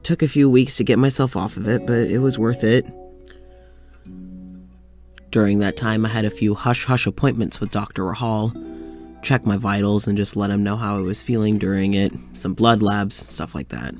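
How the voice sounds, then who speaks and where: An actor playing a lonely, weary doctor in an audio drama, confiding in a halting, sighing way. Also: male voice, fairly low pitch, steady tone, darkish tone, faint music behind, lightly acted. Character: lonely, weary young doctor venting to his demon dog.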